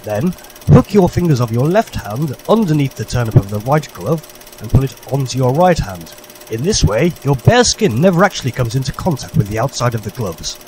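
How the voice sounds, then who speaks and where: A man's voice: medium pitch, sing-song, brisk, darkish tone, slight background noise. A man narrating a parody vintage public information film in a formal, jolly, old-fashioned instructor's manner.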